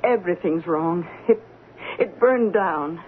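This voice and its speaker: A woman's voice: lowish voice, takes it slowly, slight background noise. An actress in a 1970s radio drama playing a wry, plainspoken middle-aged unmarried woman with a dry, bantering manner. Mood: distressed. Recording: narrow-band old radio broadcast recording.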